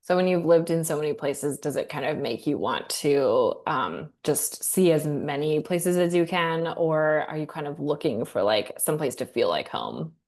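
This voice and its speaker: A woman hosts a casual podcast interview and asks easygoing questions, using fillers like "like" and "you know." Her voice sits low for a woman. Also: normal speed, few pauses. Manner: relaxed, friendly questions with fillers. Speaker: podcast host.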